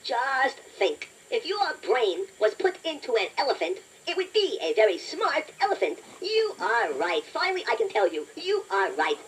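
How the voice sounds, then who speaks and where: A woman voicing a chatty, playful toy robot that hosts a children's quiz, encouraging the listener in a deliberate, self-deprecating way. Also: on the high side, sing-song. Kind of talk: scripted children's quiz narration. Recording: noisy toy cassette playback, distant from mic.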